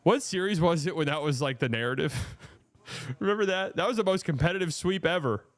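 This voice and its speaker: A man's voice: fairly high pitch, lively intonation, brisk. A man hosting a live watch-party stream, chatting casually and bluntly about the game and answering his chat.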